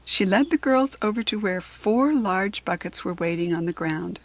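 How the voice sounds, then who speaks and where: A woman's voice: mid-range voice, animated, normal speed, no room sound. American woman reading storybooks, dry close sound. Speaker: children's book read-aloud reader. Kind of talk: expressive storybook narration.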